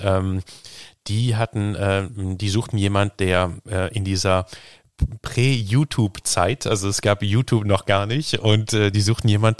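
Casting: male academic interview guest from Canada, low pitch, hesitant conversational delivery, close dry recording. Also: no echo. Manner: hesitant, halting, pieces thoughts together step by step. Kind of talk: casual interview talk.

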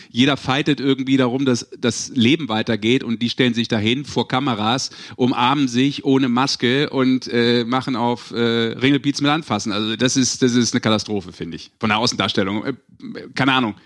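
A man hosting a sports podcast, talking casually and colloquially, mixing in English slang. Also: medium pitch. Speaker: podcast host. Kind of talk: casual discussion on an ice hockey show.

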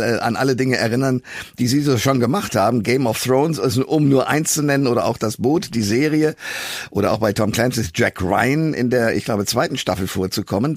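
Gravelly man hosting a radio talk, close and dry. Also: mid-range voice, no room sound. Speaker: radio and podcast host. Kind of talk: conversational interview hosting.

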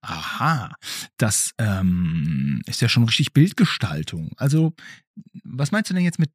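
A man's voice: on the low side, big pitch swings, unhurried, husky, dry recording, clean studio sound. A man hosting a casual interview with a child, asking questions in a playfully impressed manner. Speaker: podcast host.

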